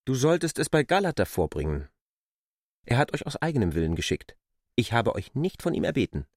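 A man's voice: medium pitch, big pitch swings, brisk, dry recording, clean studio sound. A man acting a defiant, impatient character in a radio drama, challenging and probing. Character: defiant, questioning man, impatient with his assistants.

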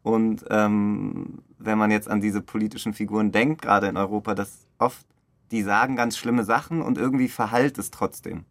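A low-voiced man speaks casually and reflectively in an interview. His voice has a lot of fry, and his phrasing is loose and informal. The sound is dry, with hardly any room in it. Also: fairly even intonation, moderate pace, no echo. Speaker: radio play director interviewed.

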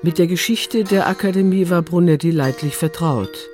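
A man's voice: fairly high pitch, normal speed, darkish tone, soft background music. A man narrating a radio drama from a script as storyteller.

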